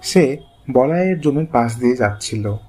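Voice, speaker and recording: A man's voice: mid-range voice, lively intonation, moderate pace, darkish tone, a little hiss or hum. A man reading scripted narration for a children's moral story cartoon. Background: music.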